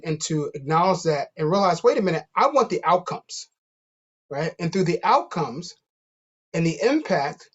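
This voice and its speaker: A panelist speaking reflectively, repeating words while searching for the point. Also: mid-range voice. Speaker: doctoral student panelist. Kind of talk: panel remarks. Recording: dull lo-fi audio.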